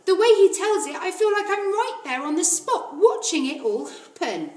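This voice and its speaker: Woman voicing an eager, bright little bookish girl. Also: very high voice, very animated, normal speed, echoey room, pitched above the performer's own voice. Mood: enthusiastic. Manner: earnest and polite. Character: eager, polite, bookish four-year-old girl. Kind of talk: storybook character voice.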